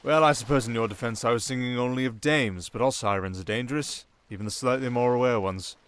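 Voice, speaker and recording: A man with a British accent playing a sardonic, softly dangerous male siren in an ASMR roleplay, with dry, theatrical sarcasm. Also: mid-range voice, quick pace, clear, resonant tone, no room sound, close to an everyday voice. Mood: sardonic, wary.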